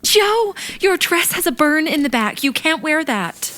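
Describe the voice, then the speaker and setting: A woman plays a prim elder sister of sixteen in an audio play. The pitch is high, and the manner is fussy, ladylike and fretful, with a streak of vanity. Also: very animated, unhurried, bright, forceful tone, exaggerated voice. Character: Meg, prim, vain sixteen-year-old elder sister. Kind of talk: audio drama acting.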